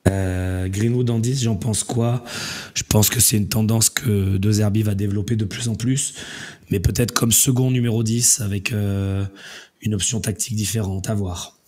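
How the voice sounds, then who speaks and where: Male radio host, low-ish voice, losing his voice to a cold; easygoing, rambling delivery. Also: moderate pace. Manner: relaxed, rambling, many fillers. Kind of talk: casual call-in chat.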